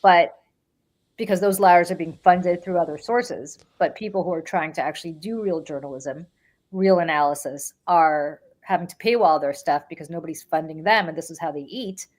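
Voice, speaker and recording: A middle-aged woman hosting a podcast in casual political chat, blunt and animated, often repeating words for emphasis. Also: on the low side, moderate pace. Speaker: podcast host.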